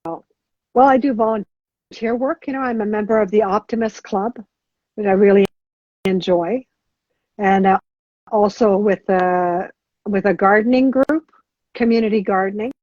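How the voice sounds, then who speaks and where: A middle-aged woman answering as a guest on a podcast interview, talking haltingly with many restarts and fillers. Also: medium pitch, steady tone, darkish tone, no echo. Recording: dull lo-fi sound.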